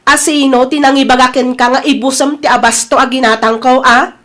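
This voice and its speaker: A woman's voice: high-pitched, fairly even intonation, fairly quick, a bit bright. A woman acting a strict, aggrieved older sister with a Filipino accent in a family audio drama. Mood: stern. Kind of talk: Ilocano radio-style family drama acting.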